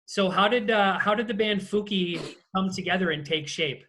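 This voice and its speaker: A man's voice: high-pitched, normal speed, pushed and bright. A man hosting a casual interview over a video call, talking in a conversational way. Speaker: interviewer, host.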